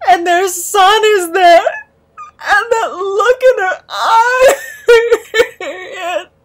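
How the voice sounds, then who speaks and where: A woman playing an awkward, emotional misfit in an audio drama, hesitant and stammering, quick to put themselves down. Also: extremely high pitch, heavily acted. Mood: sobbing, overwrought. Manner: hesitant, stammering, self-deprecating. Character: awkward, emotional, rambling misfit named Nap.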